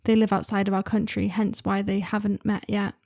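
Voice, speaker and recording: A young woman hosting her channel, reading online stories aloud with chatty, excitable commentary and asides. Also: medium pitch, brisk, creaky. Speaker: reader and channel host.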